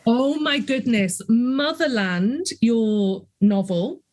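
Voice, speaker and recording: A woman's voice: a little high, slow pace, a little mellow. A woman hosting a podcast interview over a video call, effusive and gushing with praise. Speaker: podcast host. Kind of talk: interview conversation.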